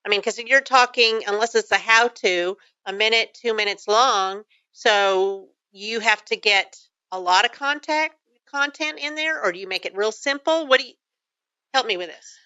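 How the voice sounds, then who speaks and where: Bright, smooth woman radio host, Texan. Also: fairly high pitch, moderate pace, dry recording, muffled recording. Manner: affirming, asks leading questions. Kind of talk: radio interview. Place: radio studio.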